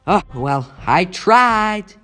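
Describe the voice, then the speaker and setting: A man's voice: high voice, sing-song, drawn-out words, sharp tone, full character voice. Man as an earnest teenage hero, music behind. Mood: rueful, musing. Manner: musing aloud to himself. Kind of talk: comic book dub voice acting.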